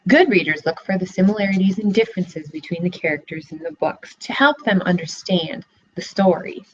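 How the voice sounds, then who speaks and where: A woman's voice: lowish voice, a little fast, lo-fi sound. A young woman student teacher giving a read-aloud lesson for children, asking encouraging questions, recorded on a laptop webcam in a living room.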